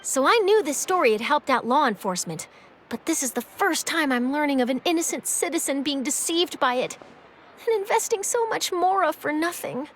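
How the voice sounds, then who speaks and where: A woman's voice: high voice, expressive, moderate pace, a little hiss or hum. A woman playing a polite, well-informed young female journalist in a video game, explaining things articulately. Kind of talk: video game character dialogue. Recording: music under the voice. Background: game background music.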